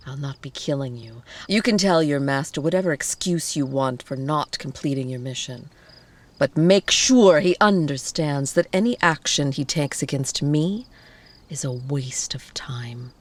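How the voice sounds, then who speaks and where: A woman playing a protective vampire lover in an ASMR roleplay, soft and breathy, often whispering, with formal, measured wording. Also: low-pitched. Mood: cold, commanding. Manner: slow, soothing, doting, formal wording.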